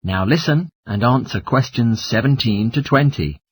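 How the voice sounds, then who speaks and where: Husky male exam announcer, animated intonation. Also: medium pitch, normal speed. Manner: formal, instructional. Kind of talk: scripted test instructions.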